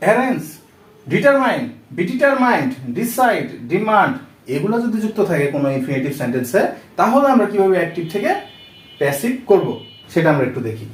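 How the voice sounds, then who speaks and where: Male Bangladeshi-accented tutor, high lively pitch, question-and-repeat teaching manner, distant mic in a reverberant room. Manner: asks rhetorical check questions and repeats key phrases. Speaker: English grammar teacher. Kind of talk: instructional lesson explaining step by step. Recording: echoey room, distant microphone.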